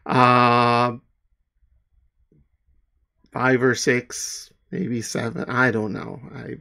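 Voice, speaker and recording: A middle-aged man from Newfoundland, Canada, talking casually to viewers in a halting, self-deprecating, rambling way. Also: medium pitch, flat delivery, gravelly, bright, forceful tone. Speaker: comic artist and author.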